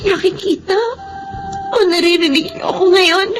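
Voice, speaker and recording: A woman playing a gentle, grieving but hopeful young orphaned Filipino woman in a drama, earnest and polite. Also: very high voice, fairly even intonation, normal speed. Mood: solemn, emotional. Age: young adult. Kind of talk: Filipino radio-style drama acting. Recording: music behind the voice.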